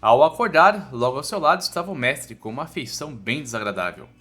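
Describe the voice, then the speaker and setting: A man's voice: mid-range voice, lively intonation, fairly quick, sharp tone. A Brazilian man narrating a scripted story recap informally, in colloquial, slangy language with asides to the listener. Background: background music.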